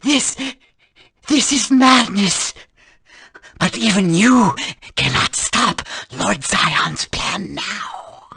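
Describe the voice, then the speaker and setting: Arrogant cruel video game villain, husky n sharp, really swoops around, sneering. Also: mid-range voice, big pitch swings, drawn-out words, dry recording, exaggerated voice. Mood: defiant, menacing. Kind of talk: dramatic video game voice acting.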